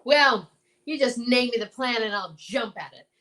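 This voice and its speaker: A woman playing a stubborn, folksy small-town male marshal in an old-time radio drama, blustering and halting. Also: a little high, bright, forceful tone. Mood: exasperated.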